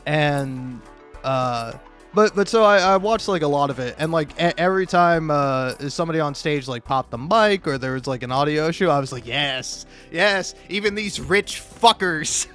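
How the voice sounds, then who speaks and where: A man's voice: on the high side, lively intonation, a little slow, fairly bright tone, faint music behind. A man doing casual, rambling YouTube gaming commentary, stammering and restarting often, with profane, self-deprecating talk.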